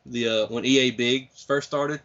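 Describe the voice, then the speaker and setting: A man in his late twenties talks as a guest on a casual podcast, earnestly reminiscing. His pitch is medium and unremarkable. The sound is dull and lo-fi. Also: steady tone, a little slow, fairly bright tone. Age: 20s. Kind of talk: casual chat.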